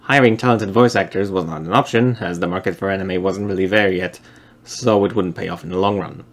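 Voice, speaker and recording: A man's voice: low-pitched, fairly quick. Wry young man. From Poland. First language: Polish. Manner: dry, wry, explanatory. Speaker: video essayist. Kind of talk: scripted narration.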